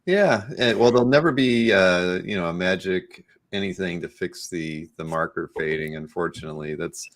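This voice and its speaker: A man with a low-pitched voice hosts a show. He speaks in an informal, conversational way, as in a casual interview. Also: moderate pace. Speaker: show host.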